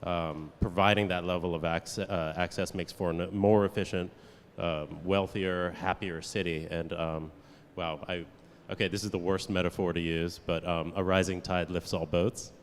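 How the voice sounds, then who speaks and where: A man's voice: low-pitched, flat delivery, moderate pace. A man speaking on a conference panel, hesitant and full of fillers as he makes his point. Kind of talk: panel talk. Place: conference hall. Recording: reverberant hall, faint room noise.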